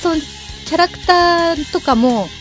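A woman's voice: very high-pitched, a little slow. A woman co-hosting a casual radio chat. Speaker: radio co-host. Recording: dull lo-fi audio. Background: faint music.

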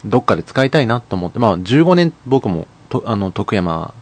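A man's voice: fairly low pitch, sing-song, a little fast. A man co-hosting a casual radio chat, polite and hesitant, playing the straight man. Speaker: radio co-host and voice actor.

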